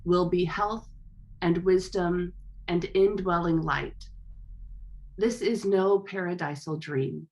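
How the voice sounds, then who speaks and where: A woman recites a poem with slow, deliberate phrasing. Her voice is somewhat low and calm, and she lets each line settle before moving on. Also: poor audio. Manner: slow, measured, solemn recitation. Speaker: reader at a workshop. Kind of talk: poetry reading.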